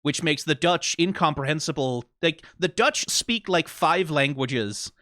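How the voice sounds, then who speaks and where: A man's voice: fairly high pitch, measured pace, pushed and bright, no echo, clean studio sound. A Danish man with a Danish accent hosting a reaction video, rambling casually from tangent to tangent, swearing now and then and often asking "right?". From Denmark.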